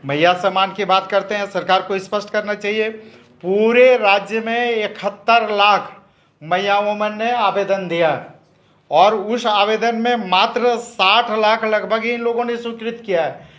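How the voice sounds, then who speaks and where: High-voiced Indian man giving a political statement, muffled. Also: fairly even intonation, unhurried, a bit bright. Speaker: political party spokesperson. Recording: dull, band-limited clip.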